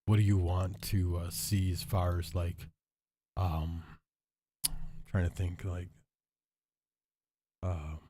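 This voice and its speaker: A man hosting a casual solo talk, hoarse and stuffy after an illness, rambling and thinking aloud with lots of fillers and restarts. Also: low voice, flat delivery, slow, long pauses, dark tone, a little hiss or hum. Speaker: show host.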